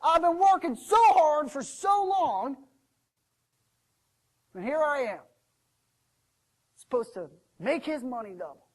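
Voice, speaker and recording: A man playing a bitter, indignant servant who rants loudly and repeats his grievances in a storytelling sermon. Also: very high-pitched, sing-song, fairly quick, vocal fry, few pauses, slightly rough, heavily acted. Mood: furious ranting. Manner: loud ranting, aggrieved, repeats himself. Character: bitter, indignant male servant digging a hole.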